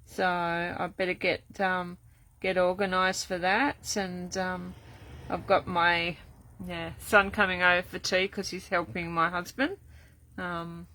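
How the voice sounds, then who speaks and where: An older woman, chatting casually through a live card-making demo, rambling with many ums and restarts, her voice going croaky. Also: lowish voice, level tone, slow, sharp tone, rough recording. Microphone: camera set up at desk.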